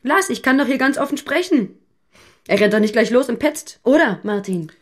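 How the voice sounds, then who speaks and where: A woman's voice: on the high side, expressive, moderate pace. An actress playing an outspoken, challenging woman critical of the state in a naturalistic radio play. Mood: indignant, challenging. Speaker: radio drama actor.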